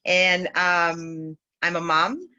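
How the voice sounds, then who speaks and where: Female facilitator, middle-aged, Chilean Spanish accent, forceful bright tone, searches for words, dull video-call sound. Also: lowish voice, fairly even intonation, extremely slow. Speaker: workshop facilitator, cooperative development consultant. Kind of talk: informal online presentation. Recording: muffled, lo-fi video call audio.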